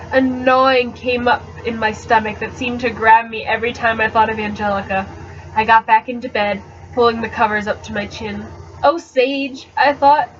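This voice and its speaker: A woman giving an expressive, theatrical amateur reading of a first-person novel, with the odd stumble, on a webcam mic. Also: on the high side. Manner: expressive and theatrical, stumbles over words now and then. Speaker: amateur book reader. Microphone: webcam microphone. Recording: dull, slightly noisy webcam audio.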